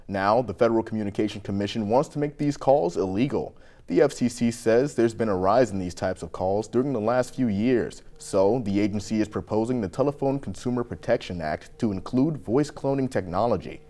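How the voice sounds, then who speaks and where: A man's voice: on the low side, dark tone. An American man reading scripted local news as an anchor.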